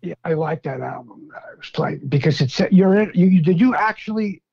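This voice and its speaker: A man in his sixties talks casually in a thick New York accent. His voice is gruff and husky, set a little high for a man. It comes through a dull, lo-fi phone line. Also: animated, brisk. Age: 60s. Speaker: podcast guest, rock fan. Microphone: phone call.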